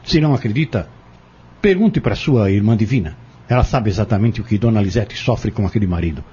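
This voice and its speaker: A man acting as an insistent avenger in an audio drama, with a very husky, low voice. He speaks formally, goading and persuading with pressing intent, and his pitch swings widely. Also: expressive, brisk, mellow, lo-fi sound. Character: insistent, scheming man bent on exposing a corrupt family.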